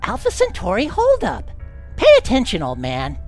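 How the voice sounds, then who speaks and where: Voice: mid-range voice, wildly swooping pitch, normal speed, darkish tone. An actor playing an eager, wholesome but slyly cheeky boy scout in a retro sci-fi radio adventure, with a gee-whiz earnest way of talking. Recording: music behind the voice.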